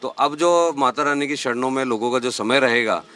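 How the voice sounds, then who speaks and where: A man's voice: mid-range voice, normal speed, pushed and bright. A man, a community organiser, giving spoken answers in a news interview.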